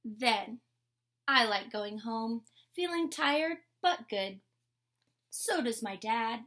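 A young adult woman reading a picture book aloud like a teacher, in a measured, instructional way. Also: on the high side, expressive, a bit bright. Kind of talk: picture-book read-aloud with short explanations. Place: indoor room with painted mural wall.

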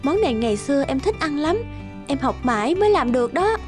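A woman voicing a young wife in a cartoon, eager to please yet wistful, soft and expressive. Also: high voice, a little fast. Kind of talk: Vietnamese cartoon dubbing. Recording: guitar music under the voice.

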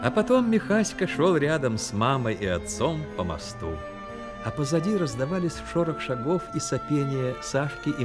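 Actor as an expressive male radio-play storyteller. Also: medium pitch, lightly acted. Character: earnest, emotive storyteller of a boy's tale. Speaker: narrator. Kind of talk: dramatic scripted radio-play narration.

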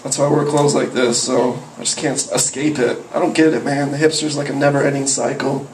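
A man's voice: medium pitch, flat delivery, brisk, darkish tone. A young man performing open mic stand-up on a handheld mic, rambling and profane, jumping into yelped character voices. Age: young adult. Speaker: stand-up comedian. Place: small cafe with a live audience. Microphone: handheld mic, filmed from a far camera. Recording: roomy, distant camera audio with room noise. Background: audience laughter.

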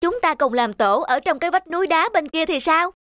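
A woman's voice: very high-pitched, lively intonation, a little fast, dry recording, clean studio sound. A woman voicing a sensible, consoling neighbour hummingbird in a cartoon fairy tale. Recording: loud music under the voice.

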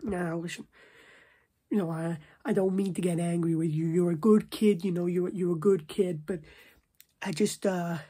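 A young man voicing a stern, scolding New Jersey mob boss in a hushed, husky ASMR roleplay. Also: high-pitched, steady tone, normal speed. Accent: put-on New Jersey mobster. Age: 20s. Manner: repetitive, slangy, stern with checking tags. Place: small domestic room, indoors.

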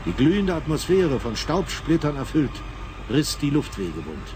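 A man's voice: on the high side, sing-song, moderate pace. A man narrating a radio drama in a dramatic, scripted style. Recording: clear background machinery noise.

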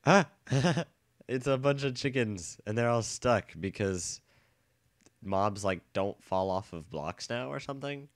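A young man with a bad cold and a nasal, stuffy voice, chatting casually and rambling excitedly while playing a game. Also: medium pitch, measured pace, no echo, studio quality. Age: young adult. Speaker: let's play gamer. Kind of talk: casual gameplay commentary. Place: dorm room.

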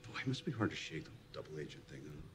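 A man's voice: a bass voice, very animated, racing, a little hiss or hum. An actor playing a bitter, sarcastic male hero in a film drama.

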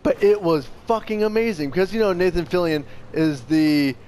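A man's voice: sits high, normal speed, darkish tone, a little hiss or hum. A man hosting a gaming channel, chatting casually and enthusiastically with plenty of slang and swearing. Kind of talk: casual chat over gameplay.

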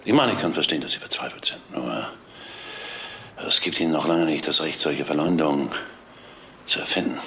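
An actor playing a stern, indignant defence lawyer in a German crime radio drama. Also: male voice, extremely low pitch, fairly quick, vocal fry, pauses often, a bit bright, a little hiss or hum, exaggerated voice.

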